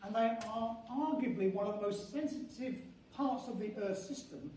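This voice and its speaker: An older man giving an academic conference lecture as a professor, halting and restarting phrases, with emphasis on key words. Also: high-pitched, warm tone. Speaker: university professor and head of geography school. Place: large echoing conference venue indoors. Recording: very echoey hall, distant mic, faint noise.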